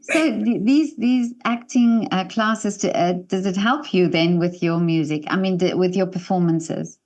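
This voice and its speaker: A woman's voice: lowish voice, moderate pace, a little mellow. A woman hosting an interview, asking questions in a casual, conversational way. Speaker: interviewer, host.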